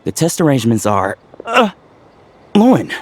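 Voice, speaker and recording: Timid young game medic, hesitant, lively pitch. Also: male voice, moderate pace, soft background music. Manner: hesitant, polite, stammering. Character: young male trainee medic, shy and polite. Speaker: trainee medic. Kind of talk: video game voice acting.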